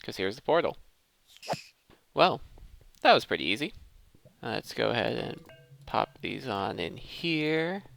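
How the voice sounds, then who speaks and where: A man's voice: mid-range voice, sing-song, measured pace, creaky, long pauses, fairly bright tone, slight background noise. A man giving casual, conversational gameplay commentary as a gaming host, thinking aloud as he plays.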